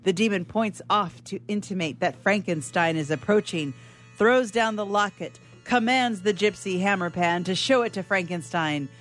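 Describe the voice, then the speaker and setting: A woman narrating stage directions for a radio play in a deliberate, dramatic scripted style. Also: mid-range voice, faint music behind.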